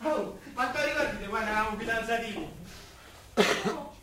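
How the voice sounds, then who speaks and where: An actor playing an affectionate, teasing working-class Roman husband of the wartime years in a colloquial stage drama. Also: male voice, very high voice, a little fast, sharp tone. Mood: coaxing, nostalgic. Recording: very echoey stage, distant, noisy.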